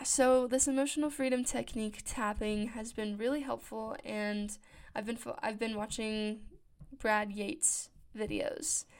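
A woman's voice: on the high side, clear, resonant tone. A woman in her late twenties, talking casually about her routines, her voice scratchy from just waking up, often correcting herself and laughing. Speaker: podcast host. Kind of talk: casual personal talk.

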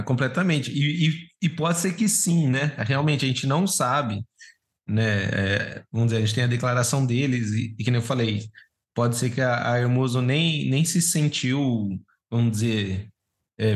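A man with a Brazilian Portuguese accent chatting casually and informally as a podcast co-host, using plenty of slang. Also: mid-range voice. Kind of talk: casual chat.

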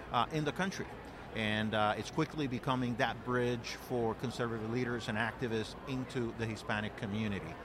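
A man's voice: medium pitch, background noise. A man from Puerto Rico, a guest being interviewed, speaking earnestly with frequent fillers and repeated words. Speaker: guest, political director. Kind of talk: conversational interview. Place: outdoor event.

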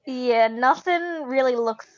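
A woman's voice: sits high, slow, dry recording. Tired young woman on a dull lo-fi mic. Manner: rambling, hesitant. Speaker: podcast co-host. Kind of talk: casual sports podcast chat.

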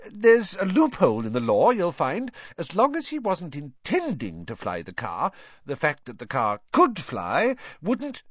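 A man's voice: medium pitch, very animated, moderate pace, no room sound, highs cut off, pitched above the performer's own voice. A man voicing a tired, kindly middle-aged wizard father who stammers when flustered. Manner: stammering, sheepish, weary.

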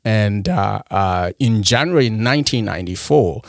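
A low-pitched young man from Rwanda speaks as a podcast guest. He is soft-spoken and halting, often restarting his sentences. The sound is close and dry. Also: animated, gravelly, no echo. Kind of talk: personal story in a podcast interview.

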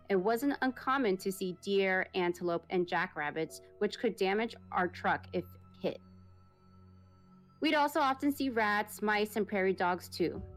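A woman reads a listener's eerie encounter aloud as podcast host, her pitch sitting in a middle range. She keeps an even, steady storytelling pace, and faint music plays underneath.

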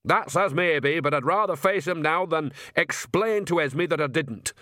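A man voicing a blunt old village matriarch, brisk and commanding, in an audiobook. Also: a little high, bright, forceful tone, no room sound, clean studio sound, voice pushed up. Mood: bossy.

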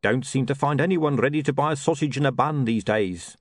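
A man's voice: mid-range voice, a little fast, no room sound, studio quality, voice pushed up, close to an everyday voice. A man voicing a gloomy, hapless male street sausage seller with a hopeless, mournful voice.

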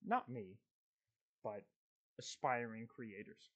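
A man's voice: mid-range voice, takes it slowly, a bit bright, breathy edge, highs cut off. A man hosting a podcast in casual chat, telling rambling stories with plenty of swearing. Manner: loose, profane, rambling storytelling. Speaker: podcast host.

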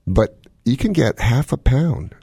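A man's voice: medium pitch, lively intonation, a little slow, gravelly, dark tone, husky, no echo, clean studio sound. A man hosting a radio talk show, giving casual, folksy health advice with plenty of joking. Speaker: medical expert radio host.

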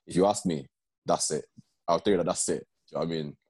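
A young man with a very deep voice, telling personal stories in an earnest, conversational way and often asking "do you know what I mean". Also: fast, darkish tone, husky, no echo, clean studio sound. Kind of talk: casual group chat.

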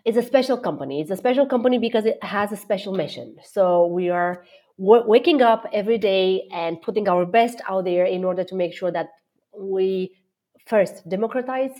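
A woman's voice: mid-range voice, moderate pace, mellow. A middle-aged woman from Romania, a guest executive answering interview questions with enthusiasm, many fillers and restarts.

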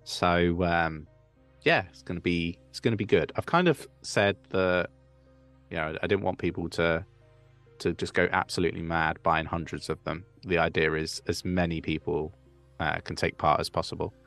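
Gravelly deep-voiced man. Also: moderate pace, soft background music. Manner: relaxed, chatty, reads live chat questions. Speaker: co-host. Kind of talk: casual crypto livestream chat.

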